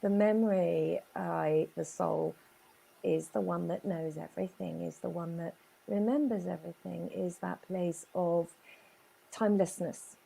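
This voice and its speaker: A woman's voice: on the low side, steady tone, vocal fry, dark tone, husky, low-quality recording. A woman from Chile with a Chilean Spanish accent, telling her life story earnestly in an interview. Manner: earnest, emphatic storytelling with dramatic pauses. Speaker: guest business owner.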